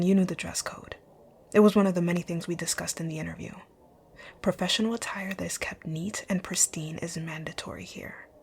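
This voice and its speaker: A woman playing a rich, dominant boss in an ASMR roleplay, speaking in a curt, commanding way with a soft, breathy, husky voice. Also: lowish voice. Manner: curt, commanding, breathy, often near a whisper. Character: rich, rude, dominant female boss, stern but secretly caring. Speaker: audio roleplay voice actor.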